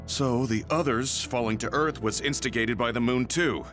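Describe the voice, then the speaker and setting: A man's voice: mid-range voice, normal speed, close to an everyday voice. A man playing a serious, analytical young soldier in an English anime game dub. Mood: grave. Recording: music behind the voice.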